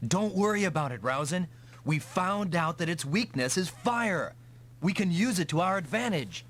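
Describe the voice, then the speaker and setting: Casting: gung-ho young pilot hero for an anime-style game, confident, animated delivery. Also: male voice, high-pitched, moderate pace. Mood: focused. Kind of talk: dubbed anime-style video game acting. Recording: noisy vintage console game audio.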